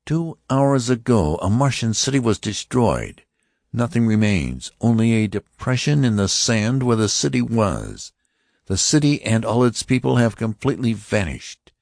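A man voicing a stern male Martian officer who interrogates passengers in a slow, commanding, threatening way. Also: fairly low pitch, darkish tone, no echo, studio quality, voice pushed up.

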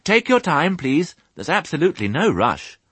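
A man's voice: on the low side, sing-song, normal speed, slightly rough, no room sound, voice pushed up. A man voicing a very courteous, earnest young piglet in an audiobook. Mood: politely pleading. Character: polite, earnest, eager young male piglet. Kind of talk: audiobook character voice.

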